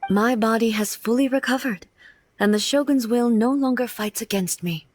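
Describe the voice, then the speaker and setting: Actress as regal goddess ruler: measured, formal, composed; faint game music under. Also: female voice, a little high, close to an everyday voice. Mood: solemn. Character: solemn regal female deity ruler, composed.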